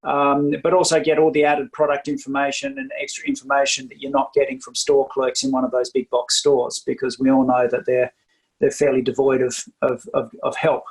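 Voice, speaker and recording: A man's voice: mid-range voice, level tone, brisk, rough recording. An Australian man with an Australian accent, a podcast guest explaining his company's technology, filling gaps with many ums. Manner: hesitant, many ums and uhs. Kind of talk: casual interview.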